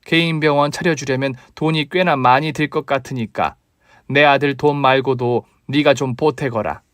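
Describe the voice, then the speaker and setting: A man's voice: mid-range voice, steady tone, moderate pace, a bit bright, clear, resonant tone, dry recording, close to an everyday voice. A man voicing a harsh, greedy older mother-in-law who scolds and demands money in a contemptuous tone, recorded dry and close. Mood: scornful.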